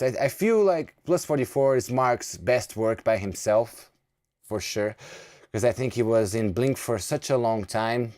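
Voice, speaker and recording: A man's voice: lowish voice, a little mellow. A man in his thirties from Brazil with a Brazilian accent, chatting casually to viewers on a live stream and often repeating words as he restarts. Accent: Brazilian Portuguese. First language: Portuguese. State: sleepy.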